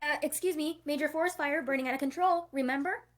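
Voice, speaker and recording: A woman voicing a feisty young girl, bossy and sarcastic, in an animated series table read. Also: sits high, fast talker, lightly acted. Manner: bossy, sharp-tongued.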